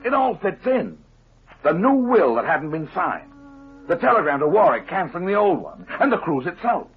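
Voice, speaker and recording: An actor playing a shrewd private detective in a 1950s radio drama, laying out a case emphatically. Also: male voice, high-pitched, big pitch swings, normal speed, slight background noise. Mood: assured, grave. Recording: muffled vintage radio recording, music behind.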